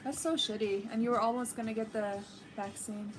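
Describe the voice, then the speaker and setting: A woman's voice: a little high, steady tone, brisk, noisy background. A young woman whose first language is Farsi, hosting a livestream and chatting casually with her viewers, with many fillers. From Iran. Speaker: livestream host. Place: living room with a red sofa, indoors.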